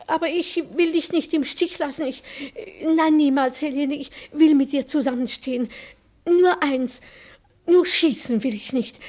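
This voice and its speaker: An actress playing a timid, devoted, sentimental widow in a German radio play, plaintive, submissive and hesitant in manner. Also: female voice, high-pitched, a little slow, darkish tone. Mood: anxious, plaintive.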